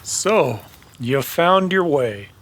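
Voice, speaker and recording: A man playing a wry, steady Old West bounty hunter in a radio western, drawling and sardonic. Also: fairly high pitch, drawn-out words, slight background noise, voice pushed up, full character voice. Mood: wry, easygoing. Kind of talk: old-time western radio drama acting.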